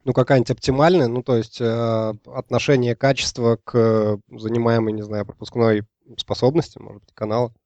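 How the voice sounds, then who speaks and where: Male panelist, soft-edged mid-pitched voice, informal conversation, dry close recording. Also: flat delivery, a little fast, no room sound, muffled recording. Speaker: podcast panelist. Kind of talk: casual chat.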